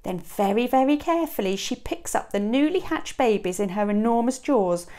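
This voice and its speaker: A woman in her thirties reading a children's picture book aloud like a teacher, with expressive emphasis and dramatic pauses. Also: on the high side. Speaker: teacher reading to a young class. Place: small classroom, indoors. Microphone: clip-on lapel mic.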